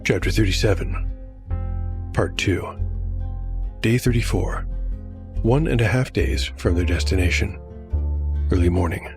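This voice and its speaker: A man with a low voice narrates dark fantasy in a measured, deliberate way. He works as storyteller and game master of a solo tabletop tale, with clear music behind him.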